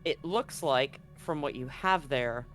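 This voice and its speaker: A man's voice: medium pitch, slow pace, a bit bright, faint music behind. A man acting as game master, narrating a tabletop roleplaying game and reading handouts aloud.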